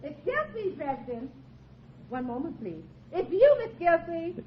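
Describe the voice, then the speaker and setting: Sassy housekeeper, very high swooping voice, slow singsong, muffled old radio comedy sound. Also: female voice, very animated, exaggerated voice. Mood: sassy, amused. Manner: slow, exaggerated, singsong delivery. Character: sassy, drawling woman housekeeper answering the phone. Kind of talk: 1940s radio comedy acting. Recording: muffled, noisy old radio with studio audience.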